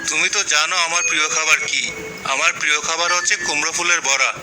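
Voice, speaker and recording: Man acting doting cartoon husband, fairly high voice, plaintive, slightly petulant, noisy background. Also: steady tone, brisk, close to an everyday voice. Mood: affectionate. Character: doting village husband, needy and a little petulant. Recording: clear outdoor-like background noise.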